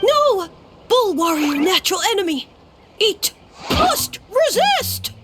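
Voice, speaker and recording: A woman's voice: very high-pitched, very animated, slow pace, music in the background, heavily acted. A woman voicing a small timid mushroom creature in a video game, speaking in clipped broken phrases. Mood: pleading.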